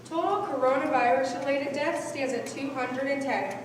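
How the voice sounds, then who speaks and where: A woman's voice: high voice, moderate pace, close to an everyday voice. A woman on stage playing a solemn, compassionate reporter of a community's losses. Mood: grave. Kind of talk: scripted stage monologue. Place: on stage in a large hall. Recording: echoey hall from far off, faint noise.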